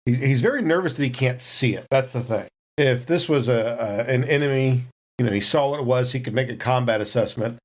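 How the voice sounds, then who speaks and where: A man's voice: mid-range voice, a little fast. Man narrating his game character. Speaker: player in a tabletop role-playing game.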